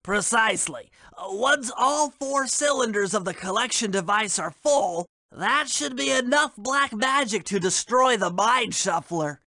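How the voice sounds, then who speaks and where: An actor playing a nerdy, theatrical turtle mastermind in a video game, wordy and pedantic. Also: male voice, sits high, lively intonation, measured pace, few pauses, bright, forceful tone, no echo, exaggerated voice.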